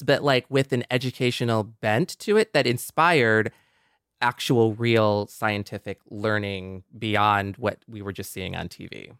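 A man's voice: mid-range voice, dry recording, clean studio sound. A man co-hosting a podcast, talking in an earnest, conversational way. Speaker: podcast co-host.

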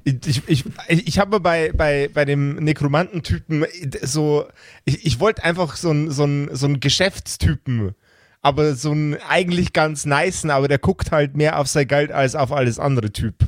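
A man chatting casually on a podcast, often repeating words haltingly as he thinks. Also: a little high, no echo, studio quality. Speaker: podcast regular. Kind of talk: casual chat.

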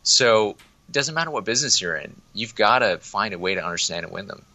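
A young man from Toronto, an agency president, talking casually and quickly in an interview, often restarting his sentences. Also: fairly low pitch, animated, sharp tone, dull audio. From Toronto, Canada. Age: young adult. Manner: quick, chatty, many restarts. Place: remote interview, Toronto office.

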